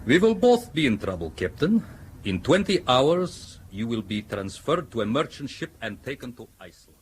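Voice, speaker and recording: An actor in a radio drama playing a suspicious foreign ship's captain and scientist who speaks in a measured, probing, ironic way. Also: male voice, medium pitch, big pitch swings, slight background noise, natural voice. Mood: stern, resigned.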